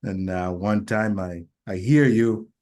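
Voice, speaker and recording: A man who grew up in Kenya, co-hosting a casual podcast and talking in a reflective, hesitant way full of pauses and fillers. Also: fairly low pitch, darkish tone. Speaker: podcast co-host.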